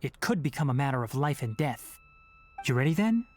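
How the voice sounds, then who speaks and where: An actor playing a calm, knowledgeable young forest ranger in a video game, speaking in a measured, instructive way with authority. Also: male voice, medium pitch. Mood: grave, cautioning. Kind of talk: English video game voice acting.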